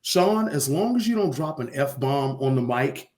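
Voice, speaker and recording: A man's voice: mid-range voice, animated, a little slow, darkish tone. A man, an arena announcer, telling casual stories as an interview guest and acting out bits of dialogue. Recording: roomy echo on the voice.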